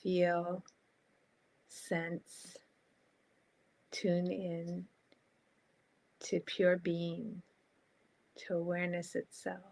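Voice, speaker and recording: A woman guiding a meditation in a calm, soft, spacious manner, leaving long pauses between short phrases. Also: on the low side, vocal fry, rough recording. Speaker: meditation guide.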